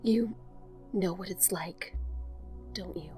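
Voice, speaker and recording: A woman playing a grieving, self-doubting young woman in an audio drama, subdued and halting. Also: on the low side, dark tone, soft background music. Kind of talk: naturalistic audio drama dialogue.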